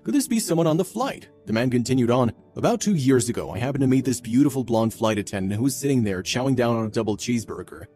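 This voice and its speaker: Fast-talking male narrator, mellow tone. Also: mid-range voice, animated. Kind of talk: scripted viral story narration. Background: faint background music.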